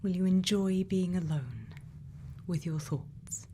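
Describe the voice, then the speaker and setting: Slow, whispery ASMR woman. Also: lowish voice, a little hiss or hum. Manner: slow, hushed, deliberate, with thoughtful pauses. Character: gentle, caring female scientist tending a robot. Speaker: ASMR creator. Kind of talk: ASMR roleplay, softly spoken and whispering.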